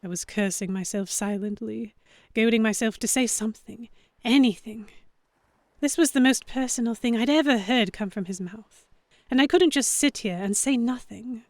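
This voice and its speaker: A woman playing an earnest, self-conscious heroine, narrating a historical romance audio drama in the first person with measured, introspective delivery. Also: fairly high pitch, a little mellow, no echo, clean studio sound, close to an everyday voice. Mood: hesitant, self-reproachful. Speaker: narrator and character.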